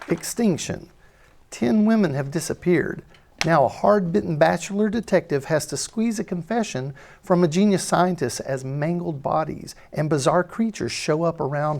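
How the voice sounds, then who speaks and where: Low-voiced woman presenting. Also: gravelly, a little mellow. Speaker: presenter of a screenplay table read. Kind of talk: scripted introduction.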